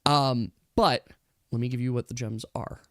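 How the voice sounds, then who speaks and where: A man working as game master, narrating a tabletop game casually and thinking aloud in a halting way. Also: medium pitch, fairly even intonation, a bit bright, clear, resonant tone, dry recording, studio quality.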